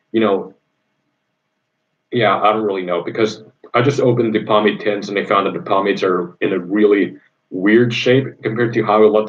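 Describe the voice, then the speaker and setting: A young man from Indonesia hosts a casual livestream, answering viewers in a rambling, enthusiastic way with plenty of ums. His voice sits fairly low, and the recording sounds dull and muffled.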